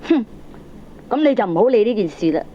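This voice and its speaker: A sceptical, anxious Hong Kong Cantonese wife questions and cautions in exclamations. Her voice is fairly high, in a vintage comic radio play with muffled cassette sound. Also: lively intonation, quick pace. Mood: anxious, scolding. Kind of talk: 1980s Cantonese comic radio drama acting. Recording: dull lo-fi cassette recording.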